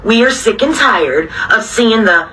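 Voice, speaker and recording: A woman's voice: fairly high pitch, animated, unhurried, pushed and bright, distant mic, low-quality recording. A woman ranting in a complaining, aggrieved manner. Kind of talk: complaining video rant.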